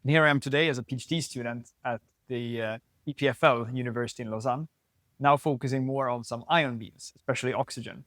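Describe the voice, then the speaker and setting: Man in his 20s, from Sweden, calm explainer, mid pitch, dry sound. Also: fast, no echo. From northern Sweden. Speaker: physics PhD student, guest. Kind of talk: explanatory interview answers. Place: lab building at a physics facility.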